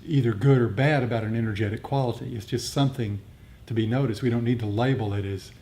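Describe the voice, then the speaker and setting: A man with a soft, mellow voice gives a reflective dharma talk. He explains things haltingly, pausing to find his words as he answers a group. A low rumbling noise sits behind him. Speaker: meditation teacher. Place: group meditation gathering.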